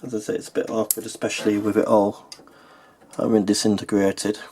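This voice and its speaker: A man with a fairly low voice talks through a practical repair in an informal, matter-of-fact way. He sounds like a technician explaining each step while his hands are busy. The recording has clear background noise and clicking. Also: little pitch movement, a little fast, a little mellow. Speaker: repair technician and video host. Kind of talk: informal hands-on tutorial narration.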